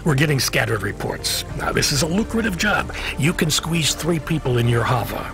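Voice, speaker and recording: A man's voice: a little high, big pitch swings, moderate pace, gravelly, music in the background. An actor playing a weary, avuncular dispatcher in a video game, hesitant and folksy as he hedges awkwardly. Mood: evasive, businesslike.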